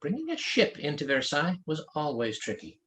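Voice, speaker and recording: A man narrating a story as an author reading his own novel, in an expressive storytelling delivery. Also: a little high, brisk, slight background noise. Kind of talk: scripted audiobook narration.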